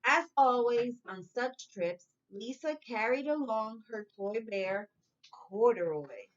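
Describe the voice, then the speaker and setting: A woman teacher reading a storybook aloud to young children in a deliberate, instructive way. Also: fairly high pitch, animated, highs cut off.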